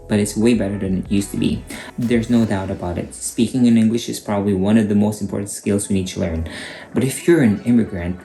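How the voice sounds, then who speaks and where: Gravelly-voiced Filipino man giving advice. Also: lowish voice, warm tone. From Philippines. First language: Cebuano. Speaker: YouTube host, HR coach. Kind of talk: scripted advice talk to camera. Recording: echoey room with faint guitar music.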